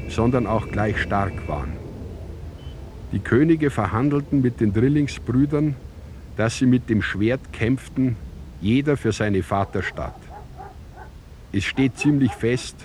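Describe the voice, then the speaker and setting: An actor playing a sober chronicler of ancient Rome in an audio drama, narrating in a measured, matter-of-fact way. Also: male voice, fairly low pitch, a little mellow, a little hiss or hum, natural voice. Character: sober male chronicler retelling an ancient Roman war.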